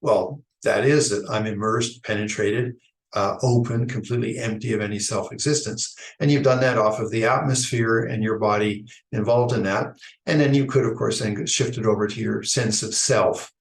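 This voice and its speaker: A man from Idaho giving an unscripted Buddhist teaching, talking in a thoughtful, halting way with many restarts. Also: fairly low pitch, steady tone, vocal fry. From Idaho, United States. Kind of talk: unscripted dharma talk. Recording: echoey room.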